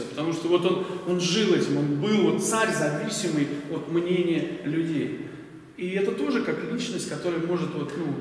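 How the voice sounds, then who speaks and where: A man's voice: on the high side, slight background noise. A man in his forties preaching at a lectern in a church hall, conversational and full of fillers, asking the audience questions. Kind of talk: sermon. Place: bright church hall with large windows. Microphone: stand mic at a lectern, filmed from afar. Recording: echoey hall from far off.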